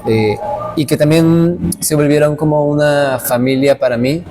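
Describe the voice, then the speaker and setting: A man's voice: a little high, measured pace, mellow, faint music behind. A man in his late twenties from Veracruz, a dance teacher, talking reflectively and earnestly in a casual interview. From Veracruz, Mexico. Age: late 20s. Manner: reflective, earnest, with frequent restarts.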